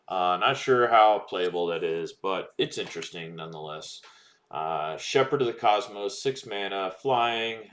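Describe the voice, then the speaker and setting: Low-voiced man reading out trading cards. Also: a bit bright. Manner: reads card text aloud with frequent ums and uhs. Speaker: card-opening streamer. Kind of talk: casual card-by-card commentary while opening packs.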